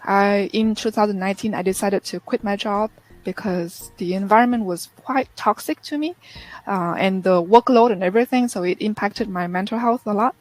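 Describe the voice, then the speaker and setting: A woman's voice: medium pitch, moderate pace, a little mellow, low-quality recording. Earnest woman on a video call. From Vietnam. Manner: earnest, reflective storytelling. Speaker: interview guest. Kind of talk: casual interview chat.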